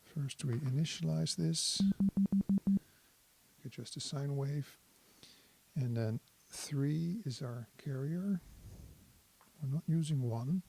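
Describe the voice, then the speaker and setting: A man's voice: on the high side, takes it slowly, gravelly, long pauses, darkish tone, slight background noise, poor audio. A man giving a casual hands-on tutorial, talking as a presenter who thinks aloud while he works. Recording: synthesizer tones behind the voice. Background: synthesizer sounds.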